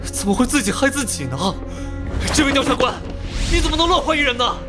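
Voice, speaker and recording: A man playing an emotional, indignant young male student in an animated drama, speaking in an agitated, protesting way. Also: very high-pitched, fast, music in the background. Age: young adult.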